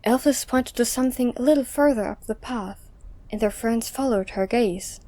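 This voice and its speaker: A woman's voice: fairly high pitch, moderate pace, warm tone, no echo. A woman narrator reading scripted story narration for an audio drama.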